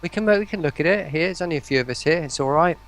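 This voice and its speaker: A man speaking as a guest in a casual livestream interview, with a halting, wry way of talking. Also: a little high. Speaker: guest voice pack producer.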